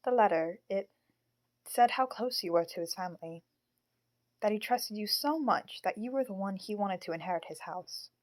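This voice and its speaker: Audio drama role: actress as a sorrowful animatronic puppet, medium-pitched, measured and earnest. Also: female voice, natural voice. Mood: probing.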